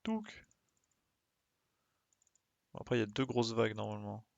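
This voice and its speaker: A man's voice: fairly low pitch, big pitch swings, moderate pace, creaky, no room sound, muffled recording. A man giving casual live gameplay commentary, thinking aloud and muttering to himself with small playful sound effects. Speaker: game streamer.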